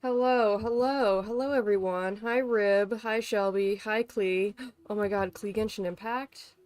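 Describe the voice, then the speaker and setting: A woman's voice: mid-range voice, fairly even intonation, measured pace, darkish tone, clean tone, dry recording. A woman livestreamer chatting casually to viewers in a playful, chatty way. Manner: playful, chatty, talks to pets. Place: bedroom at home.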